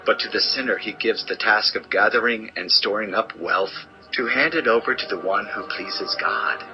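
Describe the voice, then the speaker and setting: A man's voice: lowish voice, muffled recording, over music, natural voice. An actor playing a world-weary, wise old king in a solemn, theatrical dramatized scripture reading. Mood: solemn, subdued. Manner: solemn, deliberate, theatrical delivery. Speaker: audio Bible narrator and actor.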